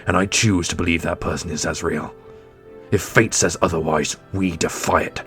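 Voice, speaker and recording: A man playing a solemn, loyal prince and protective brother in a fantasy audio roleplay, speaking earnestly and deliberately. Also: low-pitched, steady tone, fairly bright tone. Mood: defiant, rousing. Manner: earnest, deliberate, grandly heroic. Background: orchestral music.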